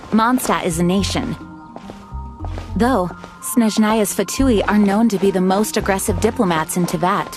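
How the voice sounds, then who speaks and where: A woman voicing a dignified, earnest young knight commander in a video game, speaking in a formal, measured way. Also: medium pitch, lightly acted. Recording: music behind the voice.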